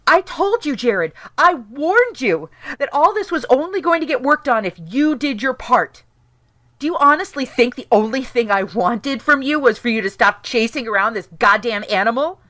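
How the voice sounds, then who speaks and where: Female for audio drama: hurt, frustrated wife, reproachful, higher-pitched, dry close sound. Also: animated, normal speed, sharp tone, no room sound. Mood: exasperated. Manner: reproachful, emphatic. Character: hurt, frustrated, uncompromising wife confronting her husband.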